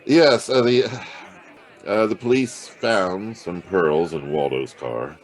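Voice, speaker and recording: An American actor playing a world-weary, hard-boiled private detective with a soft streak in a noir audio drama, gravelly and laconic. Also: male voice, deep voice, takes it slowly, some chatter behind. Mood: awkward, kind. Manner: laconic, colloquial tough-guy delivery. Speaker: actor playing the lead and narrator. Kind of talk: noir audio drama dialogue and first-person narration.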